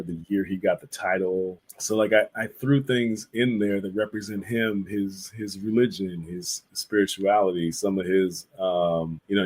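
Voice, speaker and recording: A man's voice: low-pitched, a little mellow, husky, poor audio. A man speaking as a guest artist in a casual interview, telling stories in an informal, reflective way. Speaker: guest, visual artist and illustrator.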